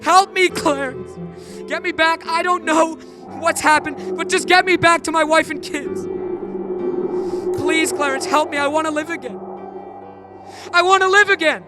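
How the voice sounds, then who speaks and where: A man's voice: extremely high pitch, a little slow, long pauses. A young man playing an emotional small-town family man, by turns desperate and overjoyed, in a live radio play. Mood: anguished begging. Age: young adult. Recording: live stage recording with music behind.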